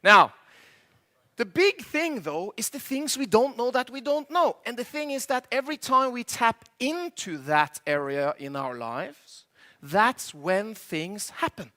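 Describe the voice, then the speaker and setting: A high-voiced middle-aged Norwegian man speaking English with a Norwegian accent as a second language. His tone is bright and keen. He works a live conference crowd from the stage, cracking jokes and throwing questions at the audience. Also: sing-song, unhurried. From Norway. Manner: jokey, quizzes the audience, slightly imperfect English. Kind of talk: stage presentation with audience banter.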